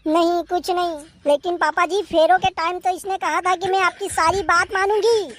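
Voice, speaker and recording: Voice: extremely high pitch, steady tone, moderate pace, a bit bright. A performer voicing a henpecked, wisecracking young husband in a cartoon comedy, placating and grumbling. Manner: placating, mock-poetic, grumbling.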